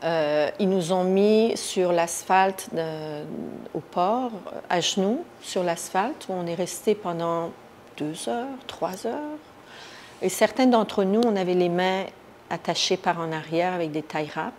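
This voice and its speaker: A woman with a slightly low voice tells her own story in an interview. She speaks spontaneously and haltingly, feeling for the right word as she goes. There is faint noise behind her. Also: a little mellow. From Iran. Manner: halting, searching for words. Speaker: interviewee, activist recounting her detention. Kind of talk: spontaneous first-person account in an interview. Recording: noticeable background noise.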